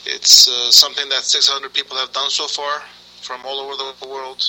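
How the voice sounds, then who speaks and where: A man speaking for the program, halting with many fillers and clearing his throat. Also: mid-range voice, little pitch movement, bright, forceful tone, rough recording. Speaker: program representative. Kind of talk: on the line, halting remarks.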